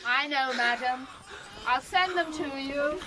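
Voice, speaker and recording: A woman's voice: high-pitched, a little slow, a little hiss or hum, poor audio. A woman playing a dutiful, deferential servant and midwife in a historical audio drama. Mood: soothing, deferential. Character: dutiful, soothing female servant and midwife to a queen. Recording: loud crowd behind.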